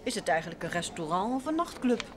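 An actress playing a detective's polite, curious wife in an old Dutch radio play. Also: female voice, on the low side, very animated, quick pace, a crowd in the background. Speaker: radio drama actor. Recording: clearly noisy vintage radio recording.

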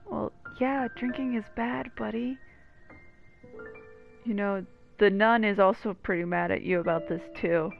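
Woman gamer, creaky voice, casual wry commentary, slightly muffled microphone, quiet music behind. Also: mid-range voice, steady tone, a little slow, pauses often. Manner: dry, wry asides. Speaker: gameplay commentator.

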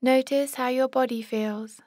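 A woman guides a body scan meditation in a calm, measured way. Her voice sits a little high and stays very level, with little rise or fall. She gives short instructions in a close, very dry recording. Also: no echo.

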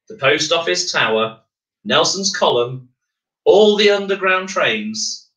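Expressive, slow-paced man reading a story. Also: mid-range voice. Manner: measured, expressive, clearly phrased. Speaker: bedtime story reader. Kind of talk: animated children's storybook narration. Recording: roomy, echoing room.

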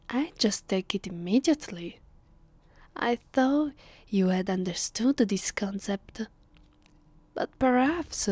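A woman with an Italian accent voicing a haughty, possessive vampire mistress in a close, hushed ASMR roleplay, condescending and commanding. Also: mid-range voice, no room sound. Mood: stern. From Italy. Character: haughty, possessive female vampire mistress addressing her captive. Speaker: ASMR voice actress. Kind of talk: ASMR roleplay, slow, close, often whispered. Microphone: close microphone, dry.

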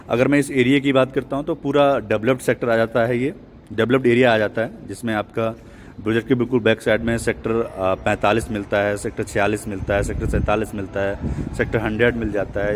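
A man's voice: on the low side, slight background noise. A man giving a walking property sales tour outdoors at a construction site, explaining things with many English terms mixed in. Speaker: real estate agent.

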